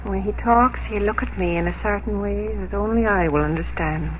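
Gentle, firm young unmarried daughter, played by a woman, earnest and composed, on a muffled radio recording with faint noise. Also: mid-range voice, normal speed, natural voice. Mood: dreamy. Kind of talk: 1950s radio drama acting.

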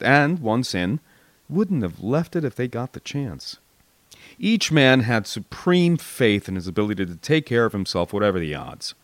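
An American man narrating a first-person memoir as an expressive audiobook reader. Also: medium pitch, dry recording. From United States.

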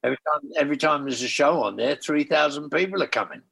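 A man's voice: a little high, fast, gravelly, a bit bright, husky, rough recording. An older man reminiscing in a casual interview as a former tour manager, blunt and swearing, with bursts of enthusiasm.

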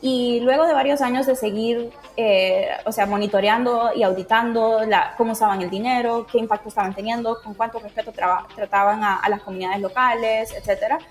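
A woman's voice: a little high, steady tone, brisk, few pauses, faint music behind. A woman from Honduras, giving casual interview answers in an enthusiastic, explanatory way. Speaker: interviewee, project team member.

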